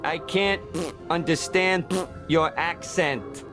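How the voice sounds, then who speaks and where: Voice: medium pitch, slow, lightly acted. An actor playing a jittery, neurotic sea townsperson in a cartoon video game, with a blunt, exasperated delivery. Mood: deadpan. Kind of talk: animated video game voice acting. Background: game music.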